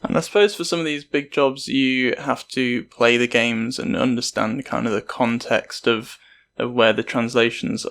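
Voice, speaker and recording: A man's voice: mid-range voice, creaky, a bit bright. A man hosting a podcast, asking interview questions in a conversational way.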